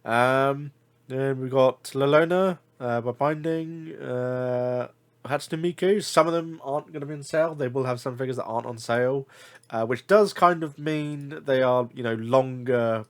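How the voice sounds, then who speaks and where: A man's voice: medium pitch, normal speed. A man from the UK with a blocked nose, chatting casually about collectible figure deals, rambling with many fillers and self-corrections. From United Kingdom. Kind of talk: casual screen-share commentary.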